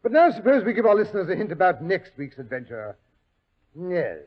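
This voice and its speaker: An actor playing a bluff, excitable older doctor who blusters, in a 1940s radio drama. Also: male voice, high-pitched, a little fast. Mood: genial. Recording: dull old radio recording.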